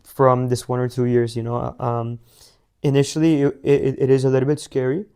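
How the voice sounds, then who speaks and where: Give me a teenage man from India, rambling and flat-voiced, full of fillers. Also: mid-range voice, moderate pace, smooth. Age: teens. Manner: rambling, many fillers and restarts. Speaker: guest, young business founder. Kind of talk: casual interview answers.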